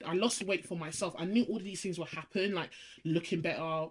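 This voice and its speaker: A young woman, co-hosting a casual podcast and telling her story in a quick, animated way. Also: on the low side, breathy edge. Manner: fast, animated storytelling with frequent laughs. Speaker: podcast co-host.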